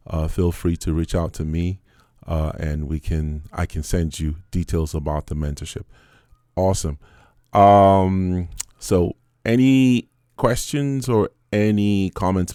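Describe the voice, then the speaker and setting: A man hosting a casual interview with one of his students, relaxed and full of fillers, often repeating the guest's answers back. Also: deep voice, no room sound, clean studio sound. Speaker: host and Amazon seller mentor.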